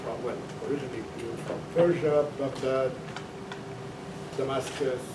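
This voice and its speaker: A man's voice: medium pitch, steady tone, a little slow. A man moderating a panel, introducing guests with stumbling, repeated words. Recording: distant, echoey, very noisy.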